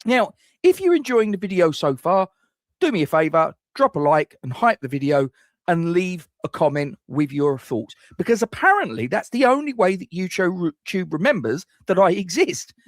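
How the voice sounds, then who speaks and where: A man's voice: high-pitched, big pitch swings, moderate pace, no echo, studio quality. A middle-aged man hosts a football fan channel, giving scripted transfer rumour commentary with wry, self-mocking jokes.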